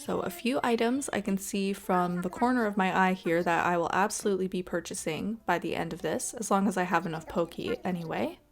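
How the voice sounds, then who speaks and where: A woman's voice: lowish voice, a little fast, gravelly, few pauses. A woman hosting a gaming video with casual, chatty commentary, talking to viewers in a friendly, direct way.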